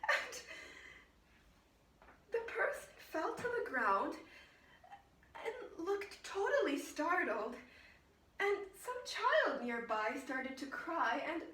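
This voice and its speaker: A woman playing a frazzled, unhinged woman in a volatile theatrical monologue, bitter about life and swinging between hushed confiding, sobbing and screaming. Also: very high-pitched, big pitch swings, slow, pauses often, heavily acted. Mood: hysterical, furious. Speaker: actress performing a one-woman monologue. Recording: roomy echo, distant microphone.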